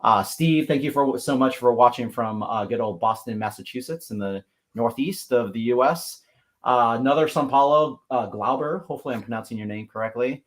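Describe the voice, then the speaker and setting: A man's voice: medium pitch, fairly quick. Upbeat American man hosting a livestream. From Pacific Northwest, US. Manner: upbeat, effusive, many fillers. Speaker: host. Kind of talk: livestream talk show hosting.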